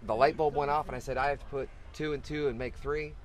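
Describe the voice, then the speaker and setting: A man's voice: medium pitch, fairly even intonation, fast. A middle-aged man with an ordinary mid-range voice talks about his fishing tournament. He is enthusiastic and rambling, often restarting his sentences. He is recorded outdoors on a beach through a camera mic. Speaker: tournament organizer. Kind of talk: casual interview talk. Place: outdoors on a beach, under a cart canopy.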